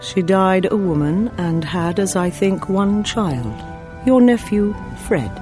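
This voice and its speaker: A woman's voice: mid-range voice, animated, slow pace, over music. A woman playing a solemn, luminous spirit in a family radio drama, with stately, formal phrasing. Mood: grave.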